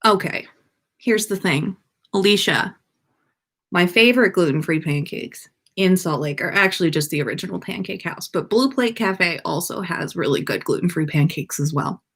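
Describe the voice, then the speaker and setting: A woman's voice: lowish voice, normal speed, a bit bright, breathy edge. An American woman hosting a casual livestream, chatting to viewers in a playful, rambling way. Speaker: podcast livestream host.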